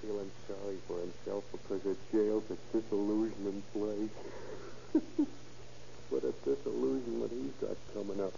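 An actor playing a slangy, sly small-time jailbird in a 1930s radio crime drama. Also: male voice, on the low side, steady tone, normal speed, gravelly, warm tone. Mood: urgent, conspiratorial. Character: crafty jail trusty turned escapee, a smooth-talking small-time crook. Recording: muffled, noisy old radio recording.

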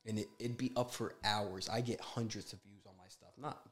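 Low-voiced, laid-back young man. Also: fairly even intonation, normal speed. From USA. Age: 20s. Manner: laid-back, slangy, emphatic when making a point. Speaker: podcast guest. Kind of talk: casual podcast chat.

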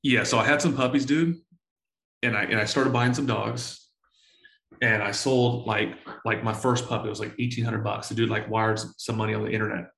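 A man in his forties telling stories in a casual interview, full of slang and swearing, excitable and often repeating himself. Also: fairly low pitch, normal speed, gravelly, roomy sound, rough recording. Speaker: entrepreneur guest.

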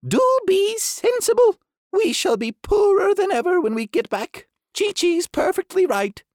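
A man voicing a fussy, bossy female duck housekeeper in a storybook reading, shrill and scolding. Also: very animated, measured pace, darkish tone, no echo, studio quality, exaggerated voice.

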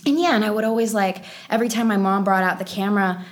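A woman's voice: mid-range voice, quick pace. A woman in her twenties, a native Polish speaker, telling personal stories casually and informally as an actress being interviewed. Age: 20s. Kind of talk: casual chat, personal storytelling.

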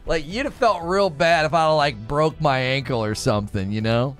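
A man's voice: a little high, moderate pace, a bit bright. A man hosts a casual livestream, rambling through stories with his co-host. He talks with plenty of animation. Faint music sits underneath. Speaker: streamer and show host.

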